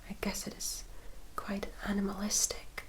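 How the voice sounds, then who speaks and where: A woman playing a composed, caring vampire attendant in an ASMR roleplay, whispering softly and formally as she gives careful guidance like an older sister. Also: mid-range voice, monotone, natural voice, poor audio. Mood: hushed, cautioning. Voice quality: breathy, soft whisper. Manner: slow, measured, formal, gently instructive.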